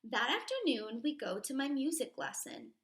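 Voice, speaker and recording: A woman teacher reading a children's picture book aloud expressively and deliberately, pausing to explain the pictures. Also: sits high, big pitch swings, a bit bright.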